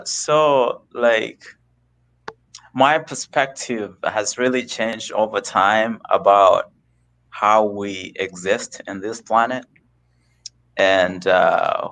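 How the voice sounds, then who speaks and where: A man from Kenya, a guest on a live video call, talking slowly and earnestly about spiritual ideas. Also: on the low side, a bit bright, low-quality recording. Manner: slow, searching and earnest. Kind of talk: reflective spiritual conversation.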